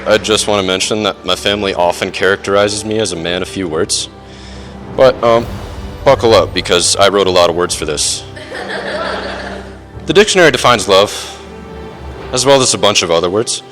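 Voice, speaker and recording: A young man giving an informal best man speech at a wedding reception, halting, with dry, self-deprecating humor. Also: on the low side, a bit bright. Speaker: best man, groom's older brother. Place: wedding reception, speaking to guests.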